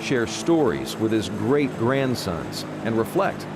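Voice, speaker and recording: A man's voice: on the low side, sing-song, slow, very noisy. An American man reporting for television news, reading a scripted feature narration.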